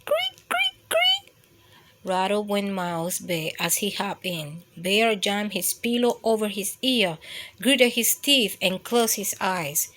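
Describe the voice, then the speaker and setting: A woman reading a children's bedtime story as an expressive narrator. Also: mid-range voice, takes it slowly.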